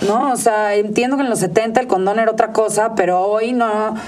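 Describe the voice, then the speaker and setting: A woman's voice: a little high, fairly even intonation, fairly quick, a little mellow, recorded from a distance. A woman with a Mexican accent speaking as an expert guest in an interview, emphatic and outspoken. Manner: emphatic, outspoken, mimics others in quotes. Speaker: interview guest, digital rights advocate.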